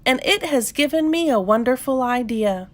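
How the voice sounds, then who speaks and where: A woman's voice: sits high, animated, normal speed, voice pushed up. A woman voicing a young female goat kid, wistful and eager, in a plaintive, childlike storybook manner. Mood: excited.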